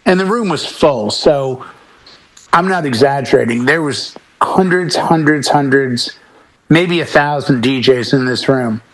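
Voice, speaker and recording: A man's voice: medium pitch, unhurried, rough recording. A middle-aged man chatting casually on a panel as a DJ, speaking emphatically and repeating words to drive points home. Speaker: talk show panelist, professional DJ.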